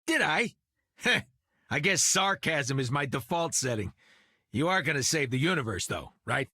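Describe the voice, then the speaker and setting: A sarcastic little creature from a video game, brash and snarky. His voice is higher and sharply bright, with lively pitch. It is recorded dry. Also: normal speed, no room sound, full character voice.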